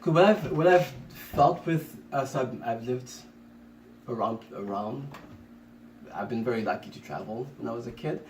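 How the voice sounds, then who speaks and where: A man's voice: mid-range voice, moderate pace, a little mellow. Rambling man from Congo, roomy sound. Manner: rambling, many restarts and fillers. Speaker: podcast co-host. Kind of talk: casual conversation. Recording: roomy, distant mic, faint noise.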